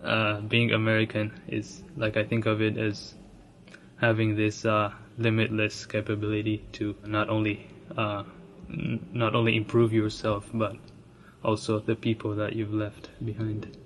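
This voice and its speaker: A young man from Ethiopia telling his own story, soft-spoken and reflective, with frequent restarts and light laughs. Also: lowish voice, monotone, measured pace, slight background noise. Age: young adult. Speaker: interviewee sharing his immigrant story. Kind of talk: personal storytelling.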